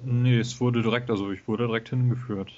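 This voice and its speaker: A man co-hosting a casual gaming podcast, talking in a relaxed, hesitant way with many fillers. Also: medium pitch, brisk, a little hiss or hum. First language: German. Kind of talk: casual game review chat. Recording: dull lo-fi home recording.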